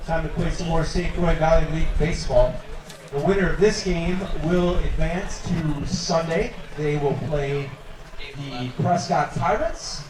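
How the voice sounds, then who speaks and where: A man on a ballpark PA reading announcements in a measured, formal way. His voice booms with heavy echo and comes from far off across the field, with clear noise behind it. It sits a little high for a man. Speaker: stadium public address announcer. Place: outdoor ballpark. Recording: booming echo from a distant PA.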